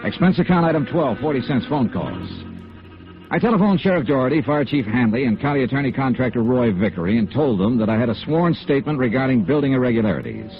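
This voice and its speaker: A man's voice: mid-range voice, sing-song, quick pace. An American actor playing a hard-boiled, persistent insurance investigator in a radio noir drama, clipped and matter-of-fact as he narrates and questions. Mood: dry narration. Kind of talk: 1950s radio noir acting. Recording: dull vintage radio recording with music.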